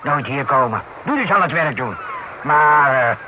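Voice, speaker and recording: A stubborn local official in a vintage radio play, acted by a man. He speaks in clipped, gruff fragments at a middling pitch, dismissing everything. The old recording is clearly noisy. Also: animated, unhurried, close to an everyday voice. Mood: dismissive, stubborn. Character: curt, stubborn male local official, deputy of the bailiff.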